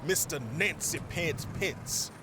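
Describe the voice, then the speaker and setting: A man's voice: a little high, slow. Man as a pompous, zealous holy snake. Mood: righteous, conspiratorial. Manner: grandiose, declamatory. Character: pompous, righteous snake spying for the Lord. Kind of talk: comic audio drama. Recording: noisy recording.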